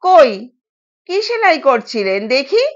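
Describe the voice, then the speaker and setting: Young new bride, played by a female storyteller, dutiful and polite, high unhurried voice, slightly lo-fi. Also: big pitch swings, full character voice. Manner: polite, soothing. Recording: dull, lo-fi sound.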